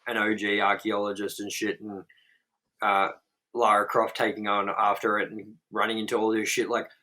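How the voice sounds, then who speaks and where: A man's voice: on the low side, moderate pace, poor audio. A young man co-hosting a movie review show, talking bluntly and casually with plenty of swearing. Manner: blunt, profane and animated. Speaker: co-host.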